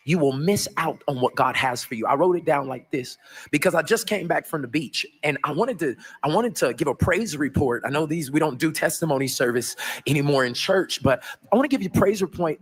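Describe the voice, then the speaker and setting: A man preaches in a high, husky voice. He delivers his sermon emphatically, urging the listeners on. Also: fairly bright tone.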